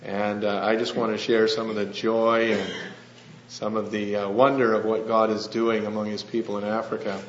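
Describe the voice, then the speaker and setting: Soft-spoken preacher in an echoing hall. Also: male voice, fairly low pitch, little pitch movement. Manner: soft-spoken, earnest, with frequent "uh" pauses. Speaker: missionary preacher. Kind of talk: sermon. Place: church hall. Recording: roomy lo-fi church recording with faint hum.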